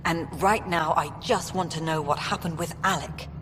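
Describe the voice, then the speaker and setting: An actress playing a weary, irritable colony official in a video game, with a curt, sardonic, exasperated manner. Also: female voice, on the low side, fairly even intonation, normal speed, close to an everyday voice. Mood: irritated, weary. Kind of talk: video game dialogue acting. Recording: long echoing reverb, noisy ambience.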